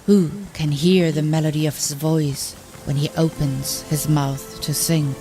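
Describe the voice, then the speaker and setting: A woman reading poetic fantasy lore as an audiobook, in a solemn, declamatory and measured manner. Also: deep voice, steady tone, mellow, soft background music. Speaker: audiobook reader.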